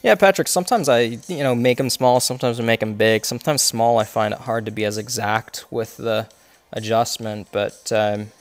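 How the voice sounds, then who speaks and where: A man's voice: mid-range voice, moderate pace, faint music behind. A man streaming a mobile golf game, explaining each of his shots step by step with many fillers while congested and coughing now and then. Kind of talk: live gameplay commentary.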